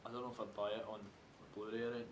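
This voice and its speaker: Casting: male podcast panelist, brisk and blunt, medium pitch, casual film talk in a reverberant room. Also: level tone. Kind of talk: casual opinionated film chat. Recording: echoey room with clear background noise.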